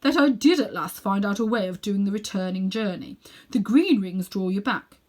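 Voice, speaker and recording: A woman's voice: medium pitch, normal speed, darkish tone, close to an everyday voice. A woman voicing a pompous, cruel old uncle who dabbles in magic, condescending and smug, in a children's storybook reading. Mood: self-satisfied, irritated. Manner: haughty, condescending, coolly smug.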